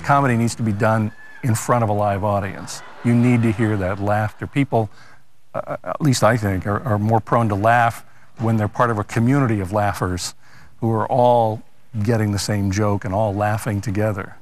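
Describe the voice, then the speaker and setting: A man's voice: fairly low pitch, steady tone, moderate pace, slight background noise. A man who leads a radio comedy troupe, reminiscing in an interview with a dry, joking manner.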